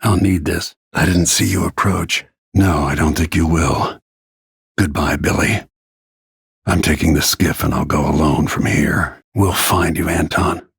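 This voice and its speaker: A man voicing a grim, battle-worn middle-aged royal protector and assassin in a video game, with terse, brooding, measured delivery and a gravelly, husky voice. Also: extremely low pitch, steady tone, no room sound, studio quality, exaggerated voice. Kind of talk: scripted video game character lines.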